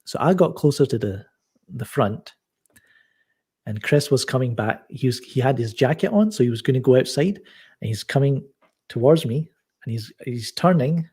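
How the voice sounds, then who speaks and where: Soft-spoken man, husky and mellow. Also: medium pitch, lively intonation. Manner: soft-spoken, halting, many restarts. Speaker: podcast guest. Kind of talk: casual chat.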